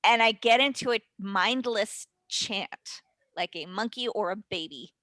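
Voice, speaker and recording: A woman, a voice and dialect coach, explaining her method as a guest on a webinar, in animated, rapid talk with quick asides. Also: mid-range voice, no room sound. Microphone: webinar call mic.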